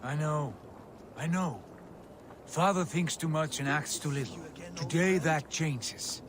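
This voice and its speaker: An actor playing a resolute, confident Viking prince and brother in a video game, speaking with assurance. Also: male voice, fairly high pitch, takes it slowly, background noise. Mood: determined. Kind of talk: dramatic video game acting.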